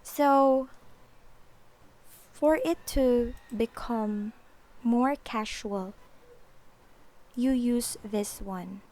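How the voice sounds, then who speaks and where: A woman's voice: on the high side, drawn-out words, pauses often, a little mellow, poor audio. A woman teaching a language lesson, patiently explaining and repeating example phrases.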